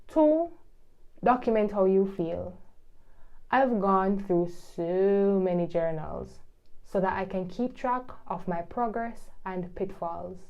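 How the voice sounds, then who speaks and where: A woman's voice: mid-range voice, darkish tone, highs cut off. A young woman, telling her story as an international student in a wry, chatty way, slipping into patois now and then. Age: young adult. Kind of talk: scripted vlog narration.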